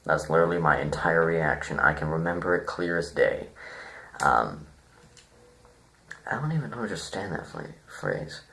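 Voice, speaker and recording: A man giving an informal, rambling critique to viewers, deadpan and self-deprecating, halting as he thinks aloud. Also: very deep voice, creaky. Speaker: video reviewer.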